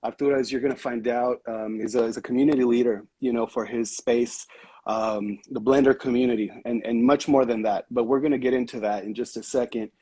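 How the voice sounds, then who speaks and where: An upbeat man hosts a casual livestream, greeting viewers and giving shout-outs as he talks. His voice has a husky, slightly breathy grain. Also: medium pitch, steady tone, fairly quick, no echo. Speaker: livestream host.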